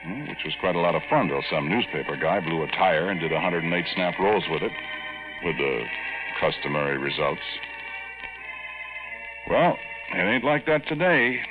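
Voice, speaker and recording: A man's voice: sits low, lively intonation, moderate pace, pauses often, over music. An actor playing a folksy, wisecracking Air Corps veteran telling his tale in slangy American speech for radio drama. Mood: casual, folksy. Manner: colloquial, slangy, confiding. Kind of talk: old-time radio first-person storytelling. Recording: tinny, band-limited vintage radio recording.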